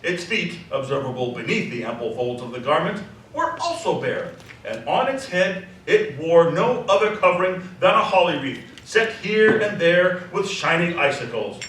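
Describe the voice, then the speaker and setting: A man narrating a scripted story dramatically, savoring the descriptive words with expressive delivery. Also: a little high, normal speed. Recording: echoey hall, distant mic, faint noise.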